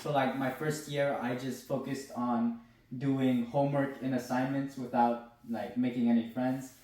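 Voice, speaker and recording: A man's voice: mid-range voice, little pitch movement, unhurried, mellow. A young man chatting casually as a podcast co-host. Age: young adult. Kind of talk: casual chat. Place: echoey indoor room. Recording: echoey room, distant from mic.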